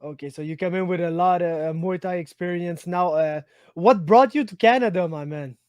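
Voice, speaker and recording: A man's voice: sits high, normal speed, darkish tone, clear, resonant tone, no echo. A man from Quebec with a Quebec French accent, hosting a casual interview, chatty and friendly. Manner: friendly, chatty, says "my man", rambling questions. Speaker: interviewer, host.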